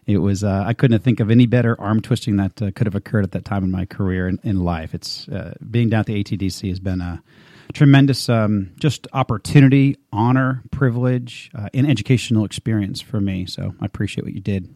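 A man hosts a radio interview in a gravelly, low, mellow voice, loose and rambling with plenty of "uh"s. The studio sound is dry and close. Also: fairly quick, dry recording. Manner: rambling, many fillers and restarts. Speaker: radio show host. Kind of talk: casual interview chat.

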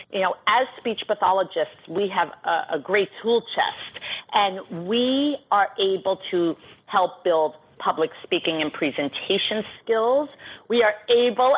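A woman's voice: medium pitch, husky, muffled recording. A middle-aged woman with a New York accent, a guest coach explaining at length in an articulate, list-like way. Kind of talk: radio interview.

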